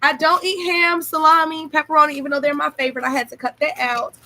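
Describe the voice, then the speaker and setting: A woman's voice: high voice, flat delivery, quick pace, bright, forceful tone. A young woman chatting enthusiastically to viewers in a home vlog, recorded close up on a built-in webcam mic. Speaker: vlogger. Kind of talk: casual diet vlog, showing food to camera. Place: small room at home, indoors. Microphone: built-in camera mic, webcam at close range.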